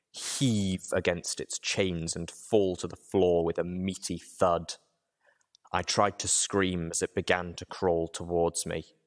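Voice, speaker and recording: Low-voiced man narrating a story. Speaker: audiobook narrator. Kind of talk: dramatic first-person story reading.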